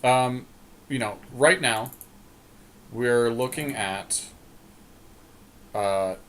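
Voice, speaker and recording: A man co-hosting a podcast, giving casual game commentary as he deliberates aloud and hedges. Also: medium pitch, a bit bright. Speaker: podcast co-host. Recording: clearly audible background noise.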